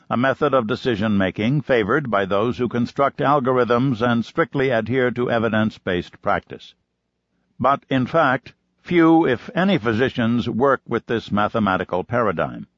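A man reading a nonfiction book aloud in a measured, even manner as an audiobook narrator. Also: mid-range voice, no room sound, muffled recording.

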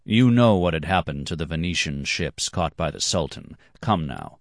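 A calm, knowing master with a dryly amused manner, as a male narrator voices him. His voice is deep and relaxed. The sound is close and dry. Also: moderate pace, no echo.

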